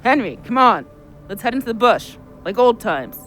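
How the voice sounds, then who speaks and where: Reckless, high-voiced wild man. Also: moderate pace, full character voice. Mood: insistent. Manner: excitable, insistent. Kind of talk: audio drama acting. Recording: music behind the voice.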